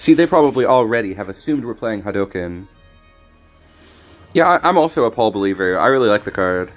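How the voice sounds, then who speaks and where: A man casually commenting on his card game as he plays, thinking aloud with frequent restarts, his voice a little raspy today. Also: lowish voice, lively intonation, a little fast, soft background music. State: slightly raspy voice. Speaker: streamer.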